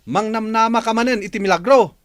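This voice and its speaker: A man's voice: sits high, a little fast, dry recording, studio quality, lightly acted. Man acting an agitated, accusing village son. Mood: angry. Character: angry, impatient adult son, harsh toward his troubled sister. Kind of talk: village radio drama acting.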